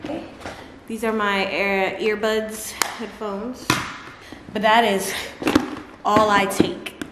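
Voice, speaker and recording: A woman's voice: medium pitch, level tone, slow pace. A woman, chatting casually to camera in a vlog, laughing often and talking to her dogs. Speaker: vlogger. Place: large bright living room at home. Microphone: camera on a table close by. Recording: echoey room with clear background noise.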